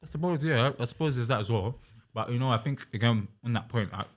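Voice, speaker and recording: A man's voice: medium pitch, fast. A young man, a podcast guest talking earnestly in casual chat, with many restarts and fillers. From Uganda.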